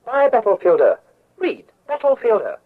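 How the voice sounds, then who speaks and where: Man doing a gushing high-pitched pitchman, noisy. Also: wildly swooping pitch, moderate pace, heavily acted. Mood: excited, urging. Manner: emphatic, hyped. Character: gushing, excitable book pitchman. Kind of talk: radio advertisement. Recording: muffled, noisy radio advertisement.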